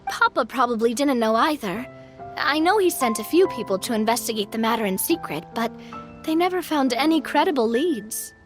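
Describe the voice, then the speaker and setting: A woman's voice: fairly high pitch, lively intonation, normal speed, close to an everyday voice. A woman voicing a friendly young game character, a loyal friend with a casual manner. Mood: thoughtful. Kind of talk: video game voice acting. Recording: music behind the voice.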